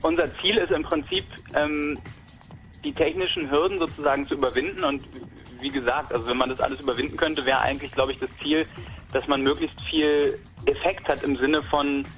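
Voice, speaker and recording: A man's voice: fairly high pitch, fairly even intonation, a little fast, fairly bright tone, faint music behind. A young man from Berlin talking casually about a hobby robot project, rambling with many fillers and restarts. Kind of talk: casual technical talk.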